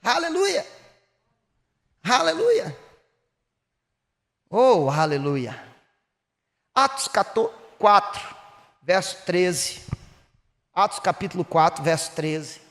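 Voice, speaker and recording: Mineiro pastor guy preaching, really high voice, long echo of a church hall. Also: sing-song, slow pace, pauses often, fairly bright tone. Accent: Mineiro Brazilian Portuguese. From Minas Gerais, Brazil. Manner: preaching, rising to emphatic bursts, then dropping low. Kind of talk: sermon.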